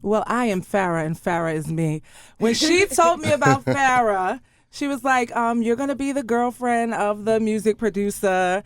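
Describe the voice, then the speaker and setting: A woman voice actress chatting casually as an interview guest, telling anecdotes in an animated way. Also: a little high, normal speed.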